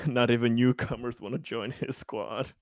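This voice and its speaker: A man's voice: medium pitch, a little slow, a little mellow. A man chatting casually and bluntly as a player in a tabletop game group.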